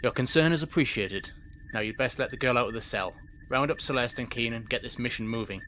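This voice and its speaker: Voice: mid-range voice, brisk, fairly bright tone, slight background noise, close to an everyday voice. An actor playing a stern, suspicious space station principal and former security chief in an audio drama, speaking in a clipped, formal, authoritative way. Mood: guarded, businesslike. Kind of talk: science fiction audio drama acting.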